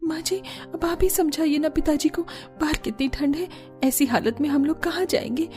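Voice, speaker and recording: Casting: actress as anxious young village wife for a cartoon, high, mellow, earnest. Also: female voice, normal speed, soft background music, natural voice. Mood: pleading. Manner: earnest, plaintive. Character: distressed young village daughters-in-law, pleading and worried. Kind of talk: cartoon drama acting.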